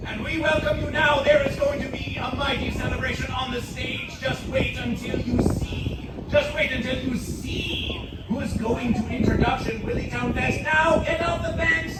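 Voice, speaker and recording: A man emceeing at an outdoor music festival, bellowing and chanting in deliberately broken English through the PA. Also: very high-pitched, steady tone, far from the mic. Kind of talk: hype-man stage announcing. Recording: echoey live PA heard from afar, crowd noise. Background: crowd and ambient noise.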